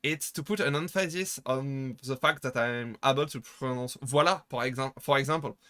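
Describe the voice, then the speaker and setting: A man with a French accent, chatting casually on a live stream and rambling through halting restarts and self-deprecating asides. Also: medium pitch, pushed and bright, no echo, clean studio sound. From France. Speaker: VTuber streamer.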